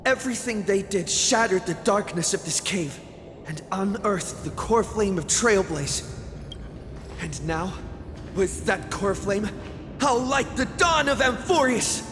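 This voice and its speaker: A fierce young male hero in a dramatic video game, high in pitch and idealistic. He declaims with passion at a deliberate pace. Music swells under his reverberant voice. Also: expressive. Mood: defiant, determined. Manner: impassioned, declamatory. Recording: long reverb over music.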